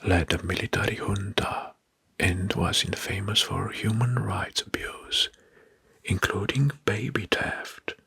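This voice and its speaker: A man narrating facts as ASMR in a hushed, breathy whisper, halting and softly repeating words. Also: fairly low pitch, lively intonation. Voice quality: breathy, mostly unvoiced whisper.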